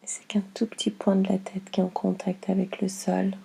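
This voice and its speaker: A woman leading a guided yoga nidra relaxation, speaking slowly and calmly in a soft, breathy voice with long pauses. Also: mid-range voice. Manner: slow, calm, soothing instruction with long pauses.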